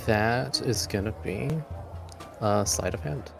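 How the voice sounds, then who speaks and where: A man's voice: on the low side, takes it slowly, vocal fry, a bit bright, soft background music. A man improvising narration as game master of a tabletop roleplaying game, with a hesitant, amused delivery.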